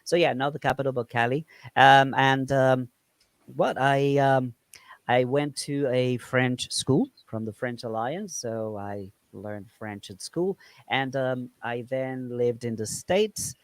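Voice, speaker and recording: A man from Colombia hosting a casual group chat on a video call, relaxed and chatty with many pauses and laughs. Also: medium pitch, no echo. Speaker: live stream host.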